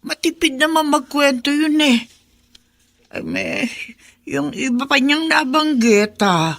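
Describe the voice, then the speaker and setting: An actress playing an elderly neighbour woman in a radio drama, halting and hesitant, gossipy but concerned. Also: high-pitched, creaky, a bit bright, exaggerated voice. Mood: musing, concerned.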